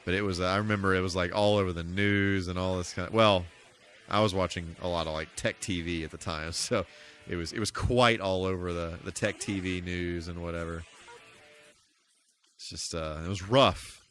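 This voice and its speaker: A man's voice: sits low, steady tone, moderate pace, fairly bright tone, a little hiss or hum. An American man giving casual, rambling, good-humored commentary on a gaming livestream. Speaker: live streamer. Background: game music.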